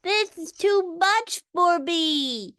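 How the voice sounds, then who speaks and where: A woman voicing a small, curious, polite young elephant in a high, loud, pleading voice, at times speaking through his nose. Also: animated, extremely slow, no echo, studio quality, exaggerated voice. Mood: pained.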